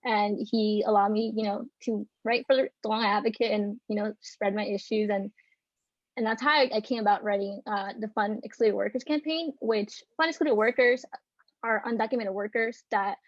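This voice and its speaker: A woman's voice: medium pitch, steady tone, moderate pace, dry recording. A young woman speaking as a student journalist on a video-call panel, earnest and polite. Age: young adult. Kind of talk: virtual panel discussion. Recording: dull, dry video-call audio.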